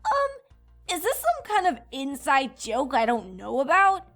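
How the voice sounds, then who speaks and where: A woman's voice: sits high, huge pitch swings, unhurried, fairly bright tone, soft background music, full character voice. A woman voicing a brash young female cartoon pony with a breezy, slangy manner in a fan fiction dramatization. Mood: baffled.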